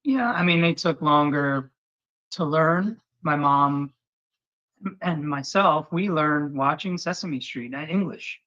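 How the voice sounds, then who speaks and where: A man whose first language is Portuguese, speaking in a loose, heartfelt, rambling way. His voice is bright and forceful. The sound is somewhat dull and lo-fi. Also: on the high side, takes it slowly. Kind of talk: casual chat. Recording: slightly dull lo-fi recording.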